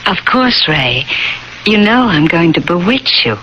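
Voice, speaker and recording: A woman's voice: lowish voice, big pitch swings, unhurried, sharp tone, exaggerated voice. An actress playing a flirtatious, teasing woman in her early thirties who calls herself a witch, in a radio drama. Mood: teasing, seductive. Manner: teasing, seductive. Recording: dull, faintly noisy old radio recording.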